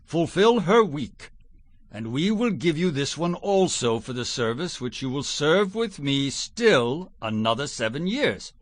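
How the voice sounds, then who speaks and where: A shrewd older family patriarch in an audio scripture drama. His voice sits a little high for a man and he speaks in measured declarations. The recording is close and dry. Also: very animated, no room sound. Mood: firm, bargaining.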